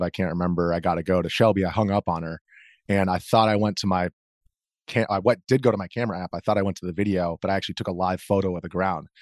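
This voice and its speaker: Fast-talking, low-voiced man recounting events casually. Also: no echo, studio quality. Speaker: interview guest, video journalist. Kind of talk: casual first-hand recounting.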